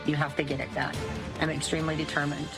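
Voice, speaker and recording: A woman's voice: low voice, level tone, fast talker. A woman speaking plainly and self-assuredly in documentary interview style, as a survival show castaway. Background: prominent music.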